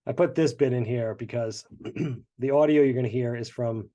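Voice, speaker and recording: A man's voice: medium pitch, normal speed, highs cut off, heavy background noise. A congested man, the show's creator, chatting through behind-the-scenes commentary with many fillers. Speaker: show creator.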